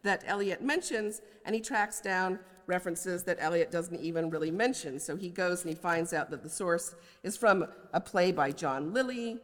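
A woman's voice: fairly low pitch, moderate pace, hardly any pauses. American woman lecturing in a reverberant hall. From United States. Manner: scholarly, conversational asides. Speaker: poetry professor giving a lecture. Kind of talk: academic lecture. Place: large indoor lecture hall.

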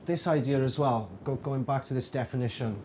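Male workshop leader, informal and reflective, mid pitch, noisy take. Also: fairly quick. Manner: reflective, with many restarts and fillers. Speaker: digital storytelling workshop leader. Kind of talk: informal talk to an audience.

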